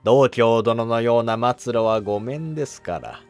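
An actor playing a humble, cautious monk who advises an emperor in formal, deferential court speech in a historical drama. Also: male voice, mid-range voice, normal speed, darkish tone, faint music behind, natural voice. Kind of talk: Japanese historical drama dialogue.

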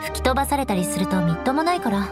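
A woman's voice: a little high, very animated, racing, over loud music. A young woman acting a proud, composed noblewoman knight in an anime game, strict but caring, with elegant, instructing delivery. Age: young adult. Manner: poised, elegant, haughty, instructing.

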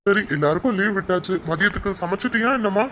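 Woman playing a fast-talking easygoing dad. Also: mid-range voice, highs cut off, close to an everyday voice. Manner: indulgent, quick-talking, mildly scolding. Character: easygoing middle-aged father and uncle. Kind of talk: family comedy skit. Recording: loud background noise.

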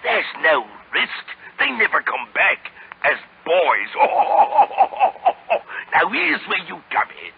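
An actor playing an evil, leering coachman with a gravelly voice, sly and conspiratorial, in a 1930s radio drama. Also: high-pitched, huge pitch swings, slow, heavily acted. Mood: menacing. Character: evil, leering coachman who preys on boys. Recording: noisy, muffled 1930s radio recording.

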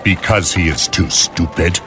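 An actor playing a brawny, loyal Chinese seaman, gruff and wry, bluntly delivered in a game cutscene. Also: low-pitched, unhurried. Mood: wry, warning. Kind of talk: animated game cutscene acting. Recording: game audio with loud music behind.

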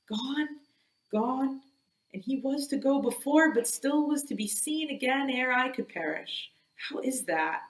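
A woman's voice: fairly high pitch, pitched above the performer's own voice, lightly acted. A woman reading an audiobook, voicing an obsessed old male sea captain who declaims with grim, imperious force, in an echoey room. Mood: brooding.

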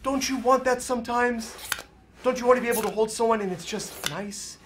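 A man's voice: extremely high pitch, a little fast, background noise. An actor playing a resigned, earnest man on the run, pleading with his lover in an audio drama. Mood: earnest, confessional.

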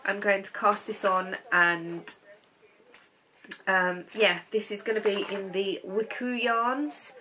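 A woman's voice: mid-range voice, steady tone, takes it slowly, bright, forceful tone, a little hiss or hum, low-quality recording. Chatty woman with a stuffy cold. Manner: rambling, chatty, many ums. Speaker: knitting vlogger. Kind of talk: casual chat to camera. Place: living room at home, on a sofa.

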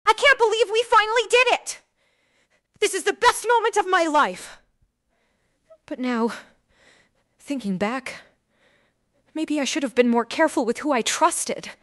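A woman performs an acted monologue in a strikingly high voice. Her delivery is dramatic and openly emotional. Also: a little slow, pauses often, fairly bright tone.

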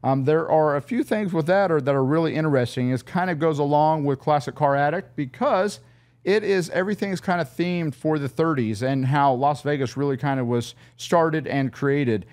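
A man's voice: a little high, moderate pace, darkish tone. A middle-aged man hosting a car podcast in casual, rambling chat, often restarting his sentences, recorded on a studio mic in a showroom set. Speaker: podcast host. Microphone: studio mic on a boom arm.

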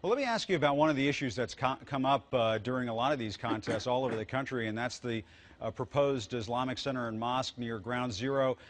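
A man's voice: mid-range voice, fast. An American man in his forties, a news anchor putting questions in a broadcast interview. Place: TV news studio.

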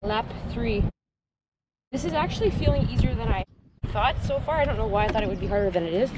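A woman's voice: animated, fast. A woman in her thirties talking casually and matter-of-factly to her camera as a cyclist vlogging her training, upbeat and chatty. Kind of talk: casual first-person vlog. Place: outdoors on a racetrack and roadside. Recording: noisy outdoor action-camera audio, vehicle-like rumble.

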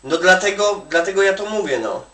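A man from Poland with a fairly high voice talks casually, as if on a phone call. He rambles colloquially, adding little "you know" tags as he goes. The sound is very echoey and he is some distance from the microphone. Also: animated, fairly quick, fairly bright tone. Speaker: Polish migrant worker advising an acquaintance. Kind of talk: casual one-sided phone chat. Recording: echoey room, distant from the mic.

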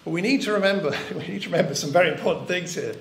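An older man delivers a sermon from the front of a church, earnest and reflective, leaning on rhetorical questions. His voice sits a little high for a man. The room is echoey, with a faint hum of ambience behind him. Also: rapid-fire, gravelly. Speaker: preacher. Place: church hall.

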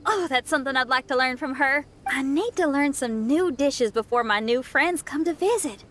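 A woman's voice: sits high, normal speed, slight background noise. A woman voicing an upbeat, friendly young female rock musician in a video game, speaking casually. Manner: casual, colloquial, warm enthusiasm. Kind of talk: video game character dialogue.

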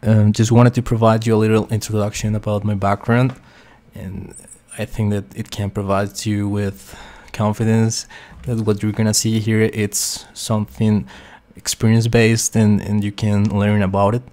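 A young man from Costa Rica with a Spanish accent, a QA engineer talking through a software tutorial with many fillers and restarts. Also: fairly low pitch, little pitch movement, moderate pace. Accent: Costa Rican Spanish. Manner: hesitant, many fillers and restarts, quietly talks to himself. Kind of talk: screen-share tutorial explanation.

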